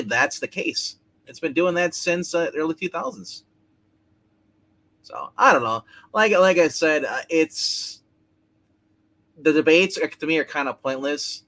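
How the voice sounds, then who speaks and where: A man's voice: a little high, sharp tone. A man chatting casually on a livestream, opinionated and repeating his phrases. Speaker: livestream co-host or guest. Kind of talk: casual political chat.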